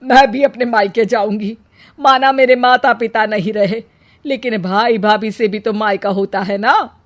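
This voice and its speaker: Woman acting a loud, scolding older mother-in-law. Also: a little high, fairly even intonation, measured pace, natural voice. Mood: angry, indignant. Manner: loud, exasperated, emphatic.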